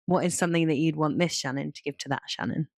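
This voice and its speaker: A woman's voice: low voice, fast, a little mellow, dry recording, clean studio sound. A woman co-hosting a podcast, chatting casually in an informal, rambling way. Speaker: podcast co-host.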